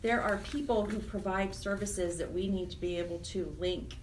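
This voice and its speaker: A woman's voice: mid-range voice, fairly even intonation, moderate pace, darkish tone. An American woman explaining things earnestly and emphatically as a guest in a radio interview. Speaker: interview guest, program director of a nonprofit chapter. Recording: distant mic with faint noise.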